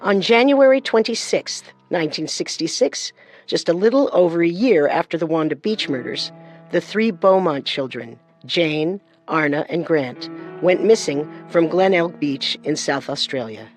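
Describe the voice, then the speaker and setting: A woman co-hosting and narrating a scripted true-crime show with measured, dramatic storytelling. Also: sits low, expressive, faint music behind. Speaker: podcast co-host and narrator.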